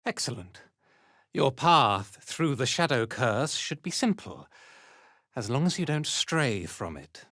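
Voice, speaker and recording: A man playing a haughty, zealous dark elf cult commander in a video game, with an imperious, contemptuous and theatrical delivery. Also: medium pitch, very animated, slow pace, no room sound, studio quality, full character voice. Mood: stern, measured. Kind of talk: scripted video game character acting.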